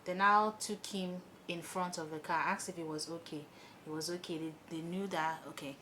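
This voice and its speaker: A young woman with a Nigerian accent telling an animated story, chatting as she does her makeup. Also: lowish voice, moderate pace, a little hiss or hum. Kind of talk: storytime while doing makeup.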